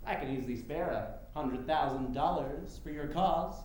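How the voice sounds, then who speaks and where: A man's voice: on the high side, normal speed, darkish tone, lightly acted. A man playing a rich, pompous political showman who woos and interrupts in grand oratory, in a staged play reading. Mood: boastful, expansive. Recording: very echoey hall, faint background noise.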